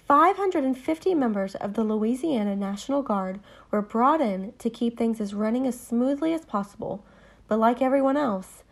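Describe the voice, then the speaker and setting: Lively husky woman narrating. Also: on the high side. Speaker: podcast co-host. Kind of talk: scripted narration.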